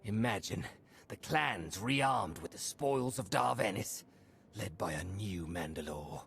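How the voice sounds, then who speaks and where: A gravelly warrior chief. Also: male voice, on the low side, lively intonation, a little slow, a bit bright, a little hiss or hum, full character voice. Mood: boastful. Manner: rousing, boastful. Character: gruff male warrior clan chief, hungry for glory.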